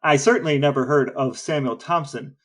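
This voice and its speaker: Man, podcast co-host, somewhat higher voice, explains and quizzes. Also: brisk. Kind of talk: casual chat.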